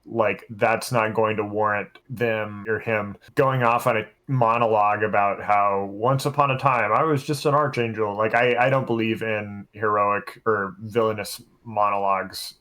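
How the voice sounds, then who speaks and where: A man with a fairly low voice talks casually as a podcast co-host and game master. He is plain-spoken and opinionated, speaking in short phrases. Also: steady tone, moderate pace, hardly any pauses, sharp tone. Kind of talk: casual roundtable chat.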